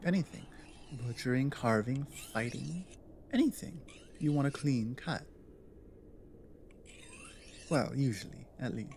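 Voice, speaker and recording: A woman playing a big, earnest, protective orc warrior in an intimate ASMR audio drama, speaking low in a halting, thoughtful way. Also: lively intonation, a little mellow, slight background noise, full character voice. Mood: matter-of-fact. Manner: halting, deliberate, earnest delivery with pauses.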